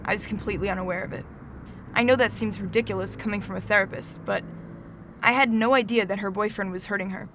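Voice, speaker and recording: A woman acting a composed, earnest middle-aged therapist in a student audio drama, speaking in a measured, professional way. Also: medium pitch, fairly even intonation, a bit bright, slight background noise, natural voice. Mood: earnest, sober.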